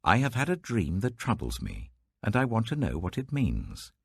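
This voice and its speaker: Man voicing an imperious gravelly British king. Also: fairly low pitch, wildly swooping pitch, no echo, pitched above the performer's own voice, exaggerated voice. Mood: troubled. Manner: commanding, deliberate. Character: imperious, threatening ancient Babylonian king.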